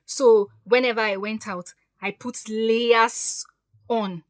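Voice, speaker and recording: A woman's voice: on the high side, fairly even intonation, slow, no echo, clean studio sound. A woman playing a defiant, hurt stepdaughter in an audio drama, speaking emphatically and with grievance. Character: defiant, wounded grown stepdaughter standing up for herself.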